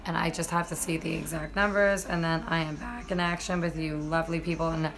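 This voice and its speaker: A woman with a low, slightly hoarse voice hosts a relaxed live stream from her computer. She talks straight to her viewers in a loose, chatty way, with plenty of fillers and restarts. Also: a little fast. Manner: chatty, many fillers, addresses viewers directly. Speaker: MMA journalist and live-stream host. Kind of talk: casual live-stream commentary.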